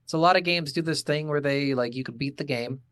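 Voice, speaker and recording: A man's voice: on the high side, a little fast, fairly bright tone, no room sound, studio quality. A man co-hosting a podcast, chatting casually about video games in a blunt way and swearing freely. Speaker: podcast host. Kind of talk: casual video game review chat.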